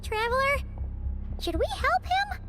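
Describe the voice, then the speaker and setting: Tiny squeaky childlike sprite. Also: female voice, sing-song, a little slow, heavily acted. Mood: worried. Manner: squeaky, inquisitive. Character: tiny childlike floating companion sprite, curious and worried. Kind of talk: anime video game voice acting. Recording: loud music behind the voice.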